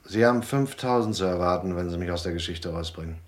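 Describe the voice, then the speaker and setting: An actor playing a tough but anxious gangster dropout in a German radio drama, speaking in a guarded, terse way. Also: male voice, low-pitched, fast, close to an everyday voice.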